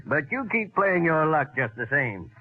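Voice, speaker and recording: An actor playing a genial, excitable elderly inventor, avuncular and chatty, in a 1940s radio drama. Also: male voice, a little high, normal speed. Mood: genial, musing. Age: older. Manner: avuncular, chatty, rambling, chuckling. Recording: muffled, tinny vintage radio recording.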